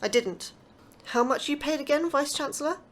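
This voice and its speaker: An actress playing an earnest, anxious astrobiology lecturer with a proud streak, in an audio drama. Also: female voice, high-pitched, fairly quick, close to an everyday voice. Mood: indignant, hurt. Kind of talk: scripted audio drama dialogue.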